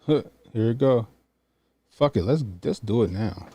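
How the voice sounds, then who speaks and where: A man's voice: medium pitch, expressive, unhurried, mellow, slightly rough, no echo. A man, chatting loosely and profanely while reacting to a video. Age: 40s. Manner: loose, profane, rambling with slang. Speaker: commentary streamer. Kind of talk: casual reaction stream.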